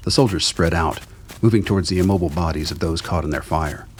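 Very deep male narrator. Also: fast talker, a little hiss or hum. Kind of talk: scripted dramatic narration.

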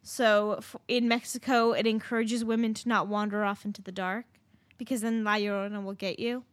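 A woman's voice: medium pitch, flat delivery, a little fast, no room sound. A young woman, co-hosting a podcast and telling a legend in a chatty way with lots of ums. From Southern California. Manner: chatty, many ums, swearing. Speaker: podcast co-host. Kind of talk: casual storytelling chat.

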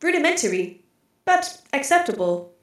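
A woman playing a literal-minded female AI archive computer in an audio drama, speaking in a flat, formal, deliberate way. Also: high-pitched, echoey room. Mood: literal, dry. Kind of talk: scripted audio drama acting.

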